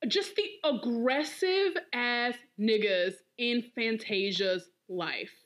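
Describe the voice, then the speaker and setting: Lively, higher-voiced woman co-hosting a podcast. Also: slow. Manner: animated, emphatic, given to repeating phrases for effect. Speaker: podcast co-host. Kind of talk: casual pop culture chat.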